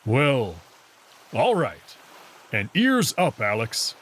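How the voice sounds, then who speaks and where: Man voicing a confident, husky male wolf. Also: mid-range voice, wildly swooping pitch, drawn-out words, heavily acted. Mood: easygoing. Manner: casual, self-assured, gently bossy. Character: confident, teasing male anthropomorphic wolf, commanding yet caring.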